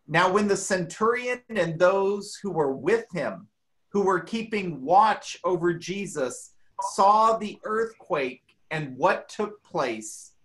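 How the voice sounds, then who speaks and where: Slow, measured woman reading scripture. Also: fairly low pitch, poor audio. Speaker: scripture reader.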